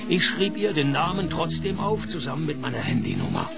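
A gravelly, somewhat high male voice, often over clear music. a radio-play private eye, laconic and deadpan, with dry wisecracks. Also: fairly quick. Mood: deadpan narrating. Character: dry, wisecracking private detective narrating his case. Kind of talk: radio play narration and dialogue.

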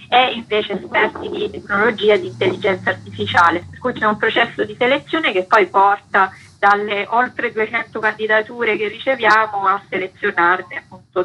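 A woman with a slightly husky voice, an interview guest explaining her curatorial work in full answers. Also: mid-range voice, sharp tone, low-quality recording.

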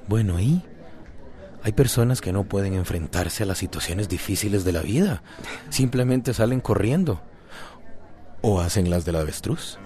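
Low-voiced, patient male confidant acted by a man. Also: animated, moderate pace, mellow, natural voice. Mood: reasoning. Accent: Costa Rican. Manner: patient, reasoning. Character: calm, understanding male friend giving gentle advice. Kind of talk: radio drama acting.

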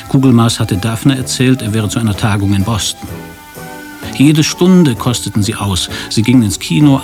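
Male radio drama narrator over music. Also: fairly low pitch.

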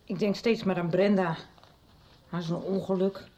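Guarded middle-aged mother, halting and hesitant, mellow warm tone, radio drama. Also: on the low side, steady tone. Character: worried mother, guarded and confiding.

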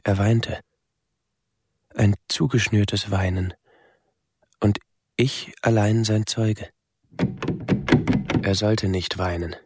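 A withdrawn young man narrating his inner life in a radio play. The voice is low and husky, recorded close and dry. He speaks very slowly in short, halting phrases, melancholy and introspective. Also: no room sound, full character voice. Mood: uneasy, sombre. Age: young adult. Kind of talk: literary radio drama monologue.

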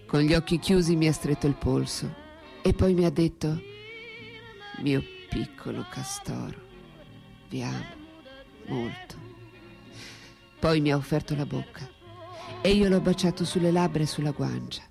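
An actress playing a philosopher's lifelong companion, a woman writer in a radio drama, speaking quietly with composed, deliberate gravity and tenderness. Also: sits low, vocal fry, faint music behind. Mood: grave, sorrowful. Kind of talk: radio drama acting and intimate narration.

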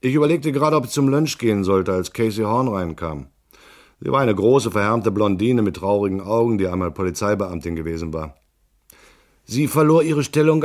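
Low-voiced, laconic hard-boiled detective played by a man. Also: a little mellow, natural voice. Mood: wry, matter-of-fact. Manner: laconic, dry, sardonic. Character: wry, skeptical male private detective telling his case. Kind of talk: radio drama narration and dialogue, hard-boiled crime.

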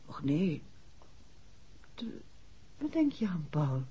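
An actress playing a light-hearted, chatty wife in a 1960s Dutch radio play. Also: female voice, fairly low pitch, big pitch swings, slow, mellow, slightly rough, exaggerated voice. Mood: airy. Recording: dull, muffled vintage radio recording.

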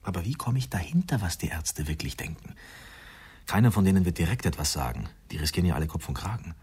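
An actor playing a brooding expectant father in a German radio drama, husky and weighing his words. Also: low-pitched, animated, fast, darkish tone, heavily acted. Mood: troubled, hesitant. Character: brooding expectant father facing a grave decision.

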